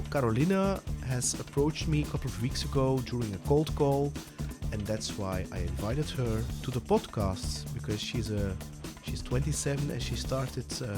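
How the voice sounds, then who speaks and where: A man hosting a podcast, talking in a halting, friendly way with many fillers and restarts. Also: mid-range voice. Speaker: podcast host. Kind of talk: casual interview talk.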